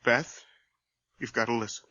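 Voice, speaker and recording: A man's voice: mid-range voice, fairly even intonation, normal speed, sharp tone, dry recording, close to an everyday voice. An actor playing a fearful, tormented man possessed by his twin in a radio horror drama, hesitant and stammering. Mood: desperate, urgent. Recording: dull, muffled old radio recording.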